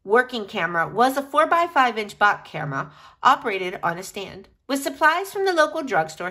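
A woman reading a picture book aloud in an expressive, deliberate storytelling style. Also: fairly high pitch. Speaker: reader of a children's picture book.

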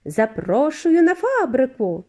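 A woman voicing a giddy, eccentric factory owner in a storybook reading, with a high, clear, flute-like voice and effusive, drawn-out greetings. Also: big pitch swings, warm tone, full character voice. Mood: gushing. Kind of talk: audiobook character voice.

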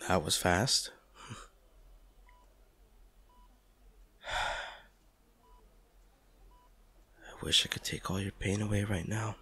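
A man's voice: low voice, steady tone, fairly quick, vocal fry, sharp tone, slightly rough, full character voice. A man playing a devoted, protective partner in an intimate audio drama, tender and reassuring as he comforts an injured loved one. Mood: tender, hushed. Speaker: audio roleplay performer.

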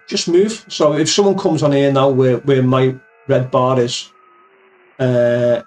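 A man's voice: mid-range voice, far from the mic. A man explaining things step by step in a casual screen tutorial, informally repeating points for emphasis. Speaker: amateur radio operator giving tips. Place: indoors, voice-over of screen recording.

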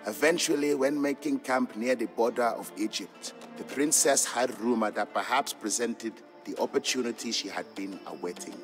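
An actor playing an Ethiopian trader and father, narrating old legends in a measured, fond storybook style for a game. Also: medium pitch, natural voice. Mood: reflective, storytelling. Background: background music.

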